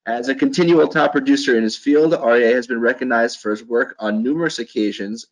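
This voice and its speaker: A man opens a podcast with a bright welcome and moves straight into a guest's credentials. His pitch is ordinary and mid-range, and he talks in an easy, practiced way. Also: low-quality recording. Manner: upbeat, reads a guest bio aloud. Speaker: podcast host. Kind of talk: podcast introduction and interview.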